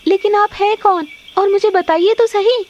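A woman's voice: very high-pitched, fairly even intonation, normal speed, highs cut off, slight background noise, voice pushed up. A woman voicing a timid, pleading young snake-girl daughter in a cartoon story. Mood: timid, unsure. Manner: plaintive, pleading.

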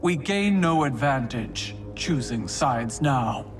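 A performer heard as a man, playing a proud, skeptical warrior queen in a video game, with stately, deliberate, formal delivery. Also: mid-range voice, lively intonation, soft background music. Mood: guarded, proud. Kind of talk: video game cutscene acting.

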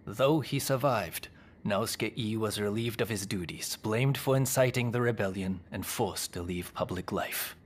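Man as a low-voiced solemn game narrator. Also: a little hiss or hum, close to an everyday voice. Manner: measured, formal. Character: solemn male chronicler of a samurai war. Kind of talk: scripted historical narration. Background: music.

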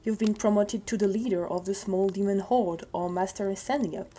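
A woman voicing a cold, commanding demon lord in a comic dub, deliberate and mocking with buzzing sibilants. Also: mid-range voice, dark tone, slight background noise, lightly acted. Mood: threatening.

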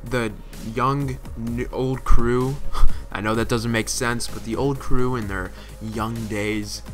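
A man hosts a gaming countdown in a casual voice-over. His voice sits at an average pitch, and music plays clearly behind him. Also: steady tone, slow pace, fairly bright tone. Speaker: host of a gaming countdown channel. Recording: music under the voice.

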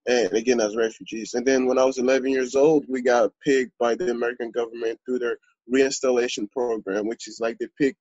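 A man in his twenties from Congo, a podcast guest telling his story in a relaxed, earnest way with lots of fillers. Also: medium pitch, fairly quick, few pauses, slightly rough, dry recording, lo-fi sound. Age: 20s. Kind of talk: podcast interview.

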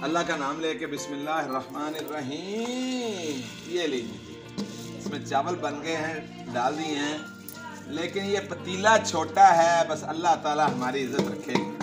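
A man's voice: a little high, lively intonation, unhurried, rough recording. A man in his forties, chatting to viewers while cooking on a wood fire outdoors in a village yard, recorded on the camera mic. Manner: chatty, addresses viewers directly. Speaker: cooking vlogger. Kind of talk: casual cooking demonstration. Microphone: camera mic, handheld by a cameraman. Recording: background music under the voice.

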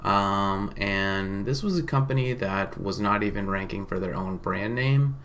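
A man's voice: on the low side, measured pace, fairly bright tone, a little hiss or hum. A man in his thirties giving an informal marketing case study to camera in a casual, hesitant way. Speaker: marketing company presenter.

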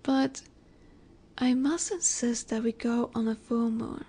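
A woman playing a flirty, confident female mafia boss in a roleplay audio drama, coy and teasing with breathy asides. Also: a little high, fairly even intonation, slow, dark tone. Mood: coy, flirtatious.

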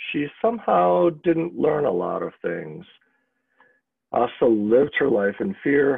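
Man in his 50s, easygoing host, gravelly and husky, tinny call audio. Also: on the low side, no room sound. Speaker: meeting host. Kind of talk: introducing speakers. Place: office cubicle, indoors. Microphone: headset on a video call. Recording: tinny phone-like video call line.

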